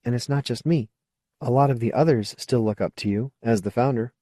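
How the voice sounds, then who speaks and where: A man's voice: medium pitch, fairly quick, warm tone, dry recording, clean studio sound, pitched above the performer's own voice, natural voice. A man voicing a frank, earnest, coaxing woman in an audiobook. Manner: earnest, coaxing, plain-spoken. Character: frank, persuasive woman colonist, old friend. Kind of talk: audiobook character dialogue.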